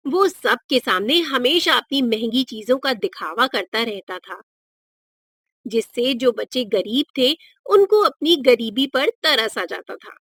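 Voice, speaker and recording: A woman narrates a children's moral tale at a very unhurried pace. Her voice is fairly high, and the recording is dry and close. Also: big pitch swings, a bit bright, slightly rough, no room sound.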